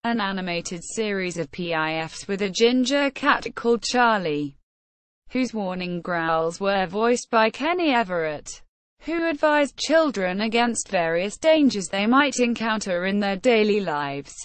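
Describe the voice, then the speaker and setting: A woman reading an encyclopedia article aloud as scripted narration in a formal, impersonal, measured manner. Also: medium pitch, expressive, dry recording.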